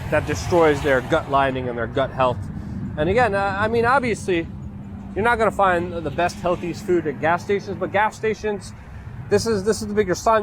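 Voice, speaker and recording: A man's voice: fairly high pitch, background noise. Indignant man raised in Poland. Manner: indignant, sarcastic, scolding. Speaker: political commentator. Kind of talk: ranting monologue.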